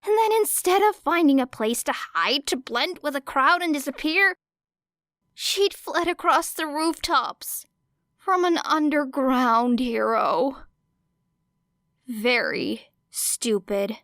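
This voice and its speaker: A woman reading fiction aloud as an audiobook narrator, in an expressive storytelling style. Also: high-pitched, takes it slowly, no room sound, studio quality. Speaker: audiobook reader of fan fiction.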